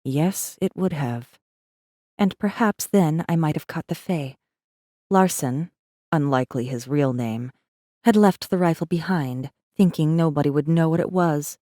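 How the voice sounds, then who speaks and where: A woman's voice: low voice, a little slow, mellow, no echo, clean studio sound. An actress playing a guarded, wry rebel messenger, narrating her own story in an intimate, wary, sardonic way for a space fantasy audiobook. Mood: guarded, brooding. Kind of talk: first-person dramatic audiobook narration.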